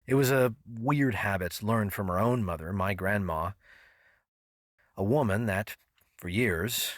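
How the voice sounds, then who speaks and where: A man's voice: fairly low pitch, a little slow, no echo, studio quality, natural voice. A man playing a grown son who narrates memories of his odd family in an audio drama, wry and reflective. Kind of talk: scripted first-person audio drama narration. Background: guitar music.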